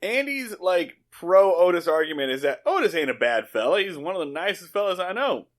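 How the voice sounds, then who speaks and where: A man's voice: sits high, big pitch swings, fairly quick. A man co-hosting a podcast in casual, comedic recap style, swearing and acting out lines in his own loose paraphrase. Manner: profane, acts out dialogue in paraphrase. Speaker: podcast co-host. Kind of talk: casual comedic recap chat about a TV show.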